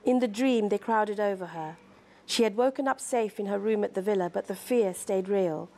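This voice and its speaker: Measured London-accented woman author. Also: mid-range voice, mellow. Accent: London British. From born in Sri Lanka, grew up in London. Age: middle-aged. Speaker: author guest. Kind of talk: reading aloud from a novel, then interview talk. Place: indoor room, seated.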